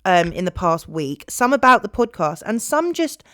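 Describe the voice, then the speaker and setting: A British woman in her twenties hosting a podcast solo, rambling casually to her viewers in an animated, chatty way. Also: mid-range voice, normal speed, dry recording, studio quality. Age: 20s. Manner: chatty, animated, jumps between topics. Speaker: podcast host.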